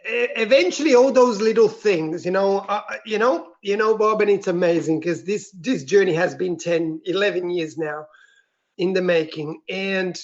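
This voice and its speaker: A man from Switzerland, a guest on an interview, telling his personal story in an earnest, reflective and soft-spoken way. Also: sits high, moderate pace. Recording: dull, lo-fi sound.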